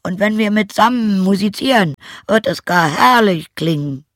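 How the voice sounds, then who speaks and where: An eager old donkey from a storybook, acted out by a narrator, pitched far up and loud. He urges his companions along with drawn-out, emphatic phrases. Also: lively intonation, no room sound, clean studio sound, lightly acted. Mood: eager, encouraging. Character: eager, encouraging old male donkey turned musician.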